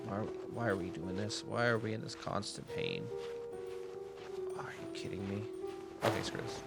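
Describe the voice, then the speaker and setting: A man's voice: on the low side, sing-song, normal speed, vocal fry, over loud music. A man giving a casual live reaction to a game, goofy and slangy, often echoing phrases mockingly.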